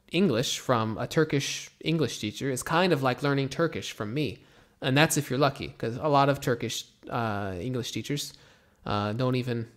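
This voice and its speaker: An American man from Florida, an English teacher, reads viewer questions aloud and answers them in a relaxed, rambling way with many pauses. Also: mid-range voice. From Florida, USA. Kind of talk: casual Q&A, reading comments aloud.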